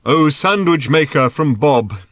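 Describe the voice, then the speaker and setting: A man's voice: on the high side, lively intonation, measured pace, a bit bright, dry recording, clean studio sound, pitched above the performer's own voice. A man voicing a pompous, pious old village holy man, making grave, ceremonious pronouncements in an audiobook. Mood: solemn, pious. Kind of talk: audiobook character voice.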